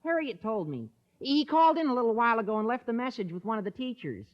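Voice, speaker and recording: An actor playing a guileless, chatty teenage schoolboy in a 1950s radio comedy, with a squawky, earnest, excitable voice. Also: male voice, very high voice, fast talker. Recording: dull lo-fi 1950s radio recording.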